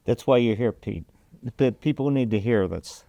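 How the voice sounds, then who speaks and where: A man's voice: medium pitch, moderate pace, creaky, mellow, no echo, dull audio. A man hosting an interview, asking questions and encouraging his guest to take his time. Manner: encouraging, reassuring. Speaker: interviewer, host.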